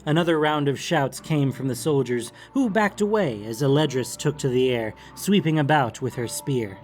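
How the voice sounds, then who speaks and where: A man's voice: medium pitch, lively intonation, moderate pace, soft background music. A man reading scripted, dramatic fantasy narration as an audiobook narrator.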